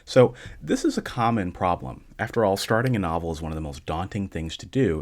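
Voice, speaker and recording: A man with a notably low voice hosts his own show and talks listeners through their questions. He keeps a calm, measured pace and delivers dry jokes completely deadpan. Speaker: podcast host answering listener questions. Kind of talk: casual solo podcast talk.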